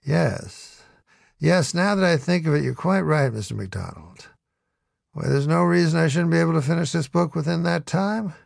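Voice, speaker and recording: A man's voice: fairly high pitch, expressive, normal speed, creaky, no room sound, studio quality, exaggerated voice. A man reading aloud as a pompous, genteel elderly male ghostwriter with fussy, formal diction. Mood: smooth, placating.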